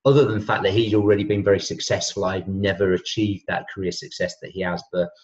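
A man's voice: sits low, fast talker. Chatty fifty-something man on a video call. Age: 50s. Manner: relaxed, chatty, many fillers and restarts. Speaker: health and wellness coach. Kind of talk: casual video call chat. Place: home room with shelves. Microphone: laptop webcam on a video call. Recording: dull lo-fi video call audio.

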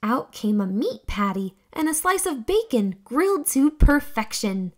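A young woman hosting a children's read-aloud, reading a storybook in an expressive, deliberate, exclamatory way. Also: sits high.

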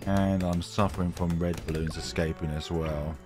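A man hosting casual gameplay commentary, his voice croaky from a cold, chatty and self-mocking. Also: low-pitched, steady tone, moderate pace, music in the background. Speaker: gaming channel host.

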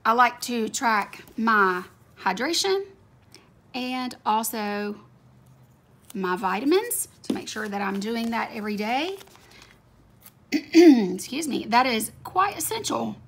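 A woman's voice: a little high, lively intonation, slow pace, long pauses, a bit bright. A woman with a slightly scratchy voice chatting casually while she decorates a planner at her desk, gushing over cute stickers. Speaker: planner video creator. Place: home desk, overhead camera on hands. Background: faint room ambience, a dog snoring.

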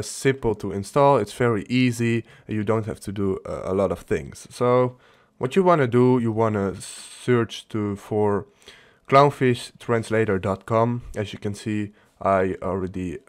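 A man hosts a casual how-to on software in a somewhat low-pitched voice. He explains things one step at a time, with a halting, unscripted delivery. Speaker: tutorial host. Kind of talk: casual software tutorial narration.

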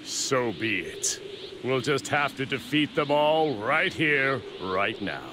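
A man's voice: medium pitch, noisy background. A man voicing an arrogant, gloating insect-like android villain with theatrical, haughty menace in an anime video game. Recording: loud game music behind.

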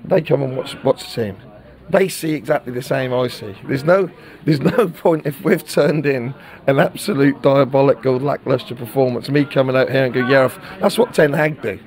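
Candid football manager, repeating himself. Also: male voice, mid-range voice, moderate pace, darkish tone. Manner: candid, repeats words while searching for them. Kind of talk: post-match interview.

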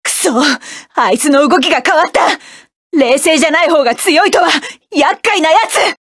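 An actress voicing a proud, combative young woman mecha pilot, delivering sharp, commanding battle lines for a game. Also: high voice, animated, normal speed, dry recording, studio quality. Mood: fierce, defiant.

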